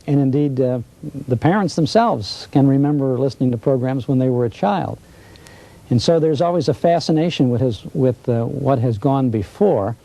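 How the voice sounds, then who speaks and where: A man's voice: medium pitch, moderate pace, creaky, dark tone, rough recording. An American man, a radio broadcaster, talking reflectively in an interview.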